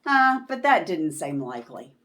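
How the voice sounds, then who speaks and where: A woman reading a children's storybook aloud in a dramatic, deliberate way. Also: deep voice, very animated, fairly bright tone, a little hiss or hum. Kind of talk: expressive read-aloud narration for children.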